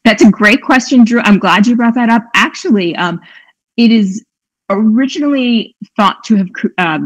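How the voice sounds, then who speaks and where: A woman's voice: a little high, moderate pace, poor audio. A middle-aged woman, a cookbook author, chatting about recipes from her home kitchen on a video call, with frequent fillers. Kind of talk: conversational cooking demo. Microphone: webcam on a video call.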